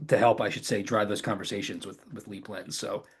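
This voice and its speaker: A man presenting in a business webinar in a conversational product-pitch style. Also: fairly even intonation, a little fast, slightly rough. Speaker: webinar presenter, company founder.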